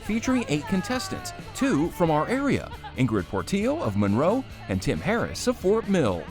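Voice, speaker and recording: A woman reporter delivering scripted news feature narration in a smooth, measured way. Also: deep voice, huge pitch swings. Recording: music bed underneath.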